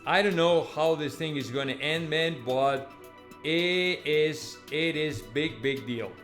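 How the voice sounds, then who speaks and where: Higher-voiced man over music. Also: takes it slowly, a bit bright. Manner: conversational, emphatic, repeats phrases, frequent tag questions. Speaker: football vlogger and fan channel host. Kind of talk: casual news commentary to viewers.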